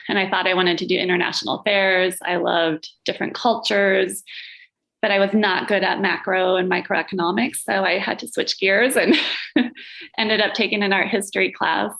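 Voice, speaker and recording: A woman's voice: medium pitch, fairly even intonation, moderate pace, vocal fry, fairly bright tone. A woman who learned Latvian at home as her first language, talking about herself in a casual interview with frequent little laughs and self-deprecating asides. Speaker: gallery owner and curator, podcast guest.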